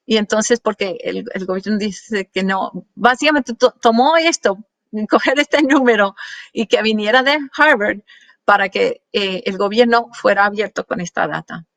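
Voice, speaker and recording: A woman's voice: medium pitch, moderate pace, fairly bright tone, no echo. A woman presenting research slides to an audience, talking with many fillers and restarts and slipping in English words. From Colombian parents. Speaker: guest speaker.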